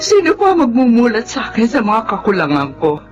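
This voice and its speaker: A woman's voice: a little high, huge pitch swings, brisk, muffled recording, over music. Older dramatic Filipino mother. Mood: remorseful. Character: money-hungry mother of grown daughters, turning remorseful.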